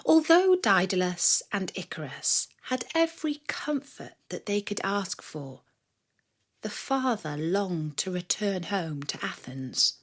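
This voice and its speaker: A woman reading a scripted story for children in a measured, expressive storyteller's manner. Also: medium pitch. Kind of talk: scripted narration of a myth for children.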